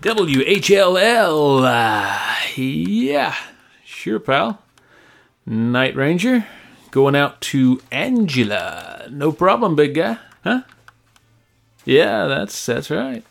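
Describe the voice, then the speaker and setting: A jaded veteran late-night DJ played by a man in a radio drama. He has a gravelly male voice and talks in casual, teasing, stammering asides, as if he has seen it all. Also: on the high side, very animated, drawn-out words, full character voice. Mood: amused, weary. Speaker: actor in an audio drama. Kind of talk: naturalistic radio drama acting.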